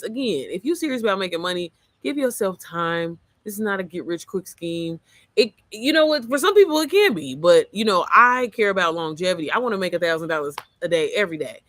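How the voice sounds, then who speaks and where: A woman's voice: a bit bright, no room sound. Chatty woman coach with a manly voice. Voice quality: low, manly-sounding voice. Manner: chatty, profane, calls the viewer girl and baby. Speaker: online business coach. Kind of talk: casual screen-share walkthrough.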